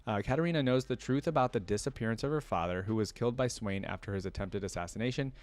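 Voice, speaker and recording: Low-voiced man in casual reaction commentary. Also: fast talker. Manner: informal, conversational, thinking aloud, addresses viewers directly. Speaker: reaction video host.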